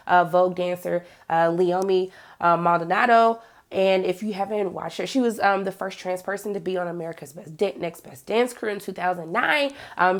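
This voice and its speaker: A woman with a cold and a raspy, hoarse voice, hosting a casual recap of a TV episode in a chatty way, with lots of ums and some throat clearing. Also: fairly low pitch. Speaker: TV series reviewer and channel host.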